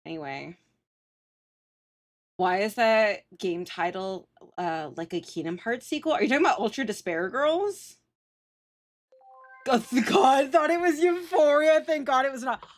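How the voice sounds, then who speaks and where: A woman's voice: fairly high pitch, expressive, a little slow, pauses often. Casual, sweary woman livestreamer chatting. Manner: sweary, self-teasing, slangy. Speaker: live streamer. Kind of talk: casual livestream chat with viewers.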